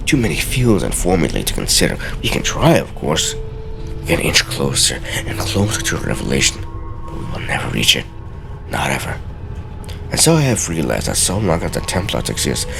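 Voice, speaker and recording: A man giving a solemn, deliberate, theatrical dramatic reading of journal entries from a game. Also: extremely low pitch, gravelly. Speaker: let's play host.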